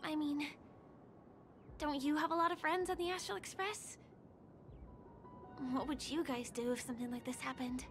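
A woman voicing a gentle, shy young woman in a video game, soft and girlish, speaking tenderly and hesitantly. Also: high voice, moderate pace, pauses often. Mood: apologetic, hesitant. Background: background music.

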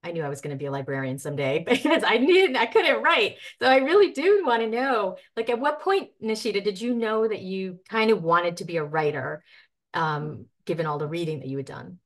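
A woman's voice: mid-range voice, lively intonation, brisk. A middle-aged woman, a librarian, moderating an author panel over a video call with encouraging questions. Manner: warm encouraging questions with soft "mm-hmm"s.

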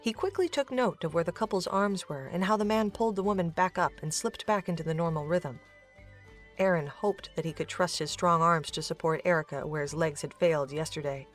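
A woman narrator reads a scripted story in a slightly low voice. Soft music plays behind her narration. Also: brisk.

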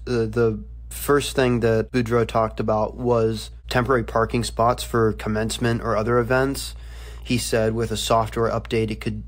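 Young man, flat conversational delivery. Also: medium pitch. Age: young adult. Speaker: student reporter interviewed on a podcast. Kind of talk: conversational explanatory report.

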